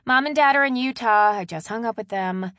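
A woman voicing a bossy, exasperated younger sister in an audiobook, loud, emphatic and full of complaint. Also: a little high, a little fast, no room sound, pitched above the performer's own voice. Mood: chatty, animated. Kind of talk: audiobook character dialogue.

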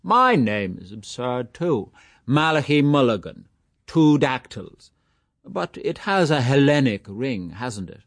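A man's voice: mid-range voice, very animated, dry recording, pitched above the performer's own voice. A man voicing a mocking, theatrical young man who drags out his words in mock-grand style. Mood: boisterous. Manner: exaggerated, drawn-out mock-grand delivery.

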